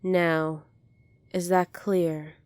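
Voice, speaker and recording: A woman playing a poised, kindly young alien princess in an ASMR roleplay, polite, formal and measured, soft-spoken with breathy moments. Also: on the low side, little pitch movement, dry recording. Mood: firm, composed.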